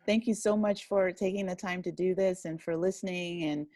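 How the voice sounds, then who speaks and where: A woman's voice: lowish voice, fairly even intonation, moderate pace, dark tone, dry recording. A woman speaking casually as an interview guest, modest and self-deprecating, laughing easily. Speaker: interview guest, audio drama writer and director.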